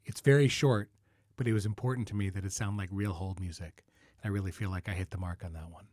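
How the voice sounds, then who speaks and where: A man's voice: sits low, fairly even intonation, fast talker, a little mellow, dry recording, clean studio sound. A middle-aged man hosting a podcast, speaking casually and wryly straight to listeners. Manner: conversational, wry, informal. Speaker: podcast host and creator. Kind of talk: casual podcast address, answering listener questions.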